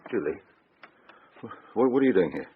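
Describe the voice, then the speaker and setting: An actor playing a guarded, defensive man in a radio drama, halting and evasive under questioning. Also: fairly low pitch, very animated, background noise. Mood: guarded, rattled. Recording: dull, muffled radio drama recording.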